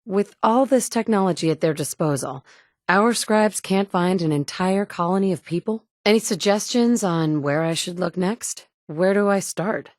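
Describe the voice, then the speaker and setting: A woman's voice: on the low side, moderate pace, a little mellow, no room sound, close to an everyday voice. A woman voicing the player character in a video game, a capable survivor who talks in a direct, firm way. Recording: dry studio recording.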